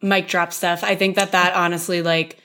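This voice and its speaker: A young woman co-hosting a chatty podcast, her voice hoarse and worn from losing it. She talks with eager enthusiasm in a slightly low register, tagging her points with "right?". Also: level tone, normal speed, sharp tone. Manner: enthusiastic, says "right?" a lot. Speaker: podcast co-host. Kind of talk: casual chat.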